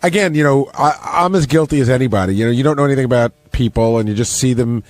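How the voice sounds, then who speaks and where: Radio commentator, male, middle register, quick delivery, chatty storyteller, dry studio sound. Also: mid-range voice, darkish tone, no echo. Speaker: radio show staffer and commentator. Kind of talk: casual radio recap chat.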